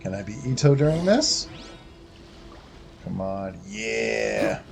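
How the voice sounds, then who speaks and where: A man's voice: lowish voice, big pitch swings, takes it slowly, vocal fry. A man giving casual, chatty commentary while he plays a video game. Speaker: gamer playing and commenting. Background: game music.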